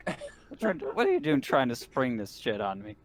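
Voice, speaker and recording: A man's voice: medium pitch, wildly swooping pitch, normal speed, a crowd in the background. A man playing an argumentative, sweary space officer haggling as a customer in an improvised tabletop roleplaying game. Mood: exasperated. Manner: grumbling, profane, argumentative.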